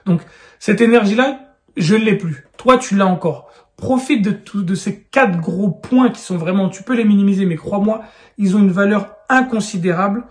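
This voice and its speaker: A man in his thirties with a fairly high voice talks straight to the viewer. He sounds urgent and persuasive, and keeps pressing his point. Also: moderate pace, a little mellow. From France. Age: 30s. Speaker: real estate YouTuber. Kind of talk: direct-to-camera advice vlog.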